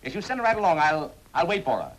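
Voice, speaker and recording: Blunt, impatient showman; quick wisecracks, lively pitch. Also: poor audio. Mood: busy phone.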